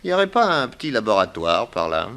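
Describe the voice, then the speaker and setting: A man's voice: lowish voice, big pitch swings, quick pace, bright, forceful tone, exaggerated voice. A man playing a shrewd, probing police detective with a wry, insistent manner in a 1960s French radio drama. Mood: wry, suspicious. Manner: inquisitive, wry, casually insistent.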